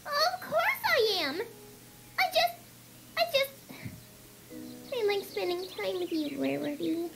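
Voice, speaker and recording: Squeaky, excitable young cartoon pony girl. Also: slow pace, vocal fry, long pauses, a crowd in the background, exaggerated voice. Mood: earnest. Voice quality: squeaky, girlish. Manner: bubbly, blurting. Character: excitable young female pony, cute, giggly, easily saddened. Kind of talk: fan fiction audio drama. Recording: background music under the voice.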